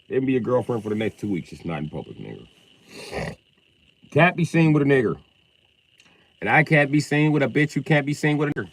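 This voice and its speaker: A man chatting casually on a livestream, loud and profane, with an animated delivery. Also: medium pitch, no echo.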